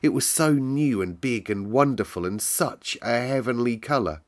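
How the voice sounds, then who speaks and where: A man narrating a classic children's story in an expressive read-aloud style. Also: medium pitch, moderate pace. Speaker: storyteller, host.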